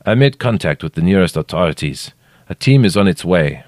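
Man doing a gruff, careful guide. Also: low-pitched, lively intonation, darkish tone, no room sound, clean studio sound. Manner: slow careful speech in a second language. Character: gruff French male local guide, careful, practical, authoritative.

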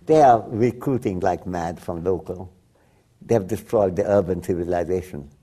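An older man, a native Bengali speaker from Bangladesh, talking reflectively as an interview guest, often restarting and laughing softly. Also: low voice, normal speed, slightly rough. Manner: rambling with frequent restarts and soft laughs. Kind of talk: reflective conversation.

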